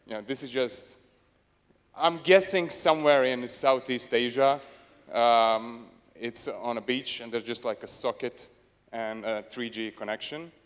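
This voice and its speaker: A man's voice: mid-range voice, fairly even intonation, a little slow. A young man presenting on a conference stage with a handheld mic, dryly humorous and hesitant, with plenty of fillers. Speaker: conference speaker. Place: large conference hall stage. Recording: long hall reverb.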